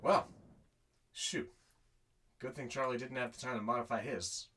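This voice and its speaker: A man's voice: medium pitch, fairly even intonation, normal speed, pushed and bright. A man playing a weary, wry desert courier who narrates his own adventure in an audio drama. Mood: wry, reflective. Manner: wry, self-talking. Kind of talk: first-person narration and amateur audio drama acting.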